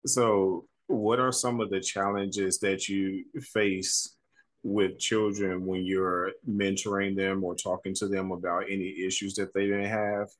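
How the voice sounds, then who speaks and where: A man's voice: low voice, flat delivery, measured pace. A man interviewing a guest, asking questions in a friendly, affirming way with frequent "mm-hmm" and "okay".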